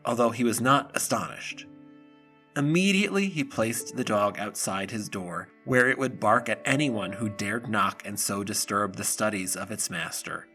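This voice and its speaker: A male narrator with a bright, forceful voice at a medium pitch. He tells a storybook tale in a measured, theatrical way, with faint music behind him. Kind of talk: scripted storybook narration for audio drama.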